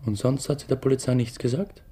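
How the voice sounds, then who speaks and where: An actor playing a young theatre employee in a radio drama, dry, understated and defensive. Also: male voice, mid-range voice, fairly even intonation, quick pace, dark tone, breathy edge. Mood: insistent. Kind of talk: radio drama narration and dialogue.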